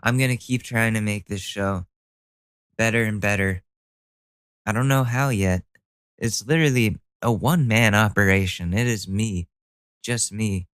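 A man's voice: lowish voice, unhurried, long pauses, fairly bright tone, smooth, no echo. A young man hosting a solo podcast, talking casually with a stuffy nose, rambling and joking at his own expense with the odd swear word. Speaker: podcast host.

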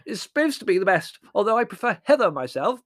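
A man's voice: sits high, very animated, quick pace, fairly bright tone, no room sound, clean studio sound, pitched above the performer's own voice. A man voicing a cheerful, rambling, dotty old aunt in a chirpy, musing storybook manner. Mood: chirpy, oblivious. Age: older.

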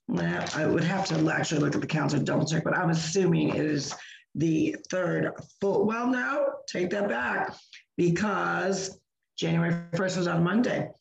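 A hoarse woman, co-hosting a chatty podcast and often correcting herself mid-sentence. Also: on the low side, darkish tone, roomy sound, dull audio, a little hiss or hum. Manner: rambling, self-correcting. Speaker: co-host of a wellness podcast. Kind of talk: casual chat.

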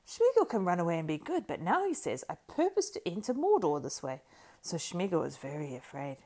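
A woman voicing a wretched, cringing male creature who wails and pleads in a shrill, squeaky whisper. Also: sing-song, a little fast, a little mellow. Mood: whining. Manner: wailing, pleading, singsong.

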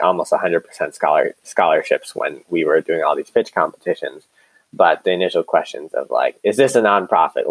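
A man's voice: low-pitched, dry recording, poor audio. A man speaking casually and informally as a startup co-founder being interviewed, telling stories in a conversational way.

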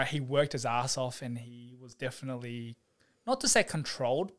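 A young man, a physiotherapist guest chatting casually on a podcast, relaxed and quick to laugh, with plenty of fillers. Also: mid-range voice. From New Zealand. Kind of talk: casual chat.

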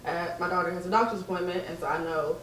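A woman's voice: lowish voice, fast talker, fairly bright tone. A woman in her twenties in a casual group chat, speaking in a plain, matter-of-fact way. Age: 20s. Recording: roomy, distant mic, faint noise.

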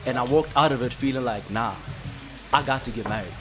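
A man's voice: mid-range voice, a little fast, music in the background. A man, co-hosting a podcast in reflective casual chat. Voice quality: mellow voice. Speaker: podcast co-host.

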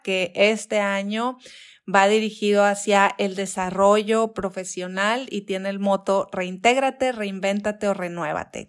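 A woman talks on a radio show in an upbeat, inviting manner. She speaks straight to the listeners and encourages them to join. Her voice sits at a middle pitch. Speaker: event organizer, radio guest. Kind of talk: promotional radio chat.

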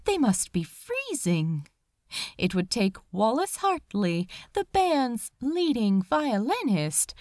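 A woman narrates fiction in a dramatic, expressive style. Her voice is fairly high and swoops widely in pitch, and she takes her time with drawn-out emphasis. The recording is dry. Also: no room sound. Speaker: fan fiction reader. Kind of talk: dramatic scripted story narration.

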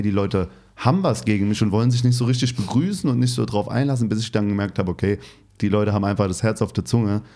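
A man with a light Hessian accent hosting a relaxed interview, friendly and talkative with fillers. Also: fairly low pitch. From Hesse, Germany. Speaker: interviewer, host. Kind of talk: casual interview conversation.

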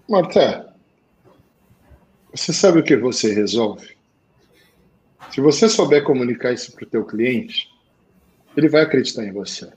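Blunt animated man with Portuguese accent. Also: medium pitch, measured pace, long pauses, poor audio. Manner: blunt, animated, slangy, swings to loud emphasis. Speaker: sales coach for accountants. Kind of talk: live talk show advice.

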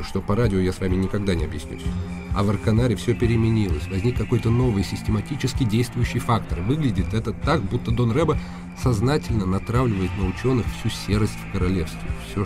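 A man's voice: fairly low pitch, fast, music in the background, lightly acted. An actor playing a passionate, impatient young nobleman in a radio drama, speaking earnestly and insistently. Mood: heated, urgent. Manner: earnest, insistent, formal address.

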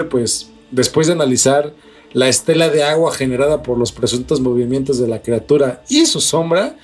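A man's voice: on the high side, a little mellow, slightly rough. A man with a Mexican accent co-hosting a paranormal news show, mixing read-out items with slangy, mildly profane, speculative commentary. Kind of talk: casual news chat, part reading and part commentary.

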